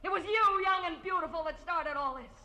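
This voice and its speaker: An actress playing a deranged, jealous murderess, threatening and desperate, in 1940s radio crime drama style. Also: female voice, very high voice, fairly quick, sharp tone. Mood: menacing, frantic. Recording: noisy vintage radio drama recording.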